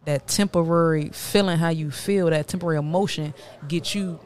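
A woman's voice: low voice, steady tone, normal speed, a little mellow. A woman in her late twenties talking candidly and casually as a podcast guest, using street slang and repeating herself for emphasis. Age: late 20s, turning 30. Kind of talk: candid casual interview talk.

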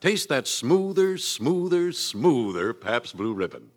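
A man's voice: mid-range voice, big pitch swings, slow pace. An American man announcing a vintage radio commercial in a hearty, folksy salesman's manner.

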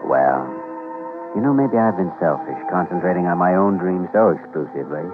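Deep-voiced earnest young doctor. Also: male voice, expressive, moderate pace. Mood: tender. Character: earnest, playful young male doctor, tenderly smitten. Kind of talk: old-time radio drama acting. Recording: muffled vintage radio broadcast, music behind.

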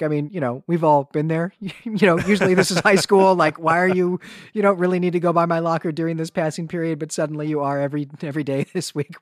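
A man co-hosting a podcast, retelling and discussing a story in a casual, animated way with a readiness to chuckle. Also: a little high, no echo, clean studio sound. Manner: animated storytelling, often chuckling through sentences. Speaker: podcast co-host. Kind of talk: casual conversational literary discussion and plot retelling.

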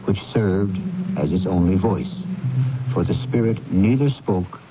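A man hosting and narrating a radio drama in a grave, deliberate, suspenseful manner. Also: deep voice, background noise. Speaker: host and narrator. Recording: dull 1970s radio recording with music.

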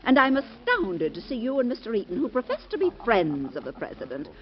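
A haughty society wife. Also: female voice, on the high side, wildly swooping pitch, a little fast, slight background noise. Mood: scornful. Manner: cutting, sarcastic. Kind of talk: 1940s radio acting. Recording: dull lo-fi old radio recording.

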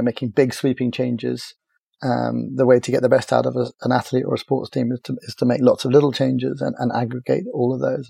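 A middle-aged man from talks through his story in a relaxed, stop-start way, his voice gravelly and mellow. He keeps an even, level tone and is heard close and dry. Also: mid-range voice, dry recording. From Ireland. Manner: halting, many restarts and fillers, relaxed. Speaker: podcast guest, agency founder. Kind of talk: casual interview chat.

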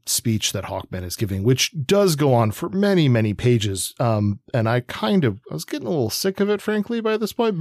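A man's voice: medium pitch, no echo, studio quality. A man in his forties chatting on a podcast, rambling with lots of fillers and restarts. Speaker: podcast co-host. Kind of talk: casual chat about comics.

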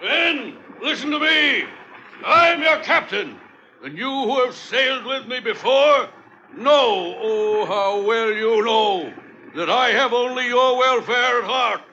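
An actor in an old radio drama playing a frightened, self-righteous sea captain, pleading and imperious like an orator. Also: male voice, very high voice, animated, takes it slowly, sharp tone, a little hiss or hum, exaggerated voice. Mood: desperate oratory. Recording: muffled vintage radio recording.

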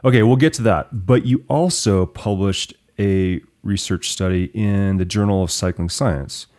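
Male podcast host, lower-pitched voice, upbeat and encouraging interviewer. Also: measured pace, a little mellow.

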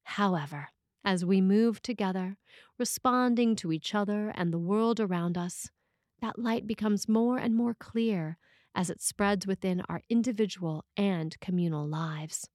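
A woman priest reading a scripted sermon aloud in a measured, expressive way with deliberate phrasing. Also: medium pitch, dry recording, studio quality.

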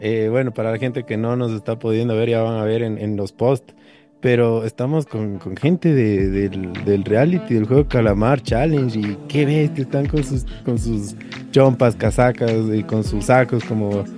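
Excitable male podcast co-host. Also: medium pitch, normal speed, faint music behind. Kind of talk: casual, rambling chat.

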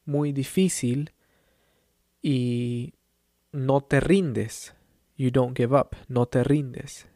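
A man from Peru teaches in an unhurried, deliberate way, letting each phrase land before the next. His tone is calm and reassuring, and he now and then repeats a point in English. The recording is dry and close, with no room sound. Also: medium pitch, no echo. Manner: calm and encouraging, with brief English glosses. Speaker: language teacher. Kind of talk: slow, clear teaching monologue.

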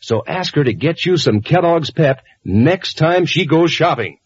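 A man reading a 1940s radio advert as a chummy, folksy pitchman. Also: lively intonation, unhurried. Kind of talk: 1940s scripted radio ad read. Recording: muffled old radio recording.

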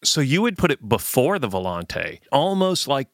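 A man's voice: mid-range voice, very animated, normal speed, no echo, studio quality. A middle-aged man with an American accent, chatting casually as a podcast co-host. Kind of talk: casual chat.

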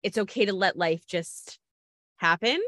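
A woman's voice: medium pitch, a little slow, a bit bright, no echo. A woman with a mid-range voice conducts a casual interview, recorded very dry and close. Her talk is informal and gushing, like an effusive chat.

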